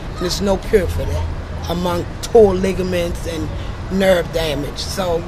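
A woman's voice: fairly low pitch, measured pace, crowd noise. A middle-aged woman giving a casual street interview, blunt and emphatic, often repeating herself. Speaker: local resident being interviewed. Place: outdoors on residential stoop. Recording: noisy outdoor street, passing cars.

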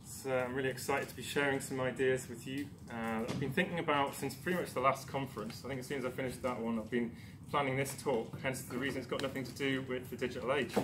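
A man's voice: mid-range voice, fairly even intonation, fast, hardly any pauses. A man from Newcastle giving a conference talk, chatty and self-deprecating, with many ums and restarts. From Newcastle, England. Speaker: conference speaker. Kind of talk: presentation talk. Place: darkened lecture room. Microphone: far camera. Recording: echoey room with background noise.

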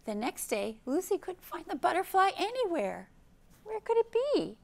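A woman in her fifties hosting a children's storytime, speaking gently and expressively in simple phrases, with questions for young listeners. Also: extremely high pitch, very animated, normal speed, vocal fry. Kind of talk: read-aloud storytime for young children, with chat and song. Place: indoor library program room.

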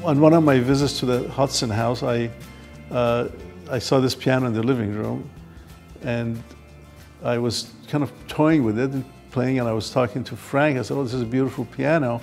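An older man reminiscing in a documentary interview, halting and repeating words, on a lapel mic indoors. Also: medium pitch, steady tone, a little mellow. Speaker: physician giving an interview. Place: furnished living room indoors. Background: music.

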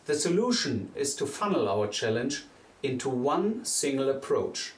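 A young man, a teacher from Germany, giving a scripted presentation in an earnest, steady way. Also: medium pitch, measured pace. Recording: echoey room, voice a bit distant from the mic.

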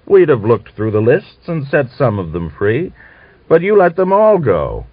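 Genial, blustering Cossack officer played by a male narrator, cajoling and pitched above his narration, lo-fi audiobook sound. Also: wildly swooping pitch, a little slow, breathy edge, no echo, exaggerated voice. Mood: genial scolding. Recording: dull lo-fi audiobook recording.

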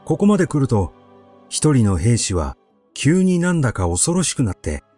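A man narrating a literary short story in a measured, expressive storytelling manner. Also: medium pitch, soft background music. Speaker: audiobook narrator.

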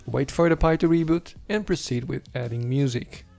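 A man's voice: mid-range voice, normal speed, creaky, darkish tone. A man hosting a tech video, reading a scripted how-to voice-over. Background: background music.

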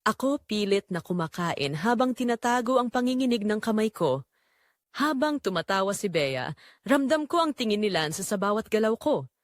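Woman playing guilty middle-aged mother, confessional romantic drama, emotional storytelling, animated intonation, dry close sound. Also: mid-range voice, normal speed, dry recording, lightly acted. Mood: tense, yearning. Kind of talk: scripted first-person confessional narration, romantic audio drama.

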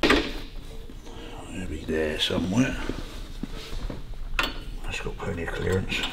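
A middle-aged man with a very low voice talks through a workshop job. He is casual and offhand, tacking little questions onto his sentences. Clear background noise fills the garage around him. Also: fairly even intonation, slow. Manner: offhand, often ends on tag questions. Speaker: workshop mechanic demonstrating metal fabrication. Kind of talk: casual hands-on tutorial. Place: large garage workshop, indoors.

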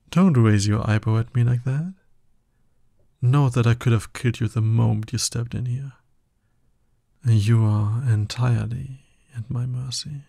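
A man's voice: mid-range voice, gravelly, warm tone, dry recording, studio quality. A man playing a smug, dominant villain in an ASMR roleplay, taunting his hero nemesis with drawling exasperation. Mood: cold, teasing.

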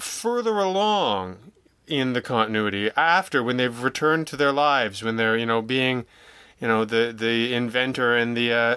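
A man talking casually as an interview guest, telling stories in an animated, jokey, self-deprecating way and acting out remarks he quotes. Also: mid-range voice, clear, resonant tone, dry recording. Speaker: guest, audio drama writer and producer.

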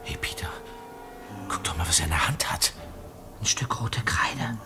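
A man playing a cautious young male detective in an audio drama, in a hushed, tense whisper. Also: deep voice, lively intonation, moderate pace. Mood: hushed, uneasy. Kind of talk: German audio drama acting. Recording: music under whispered dialogue.

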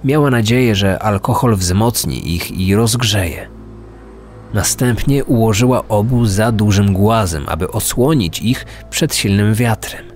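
A man's voice: on the low side, expressive, a little slow, darkish tone. A man narrating a scripted true-crime podcast. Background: faint music.

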